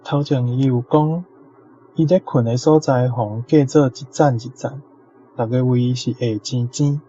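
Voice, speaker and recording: A man's voice: mid-range voice, steady tone, measured pace, mellow. A man with a Taiwanese accent carefully presenting translations as a teacher, in a dull lo-fi recording. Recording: dull lo-fi sound, faint noise.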